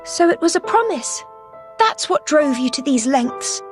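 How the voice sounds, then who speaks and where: An actress playing a kindly young lady detective in an animated mystery game, gently questioning and persuading over music. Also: sits high, measured pace. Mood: gently probing. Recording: clear music behind the voice.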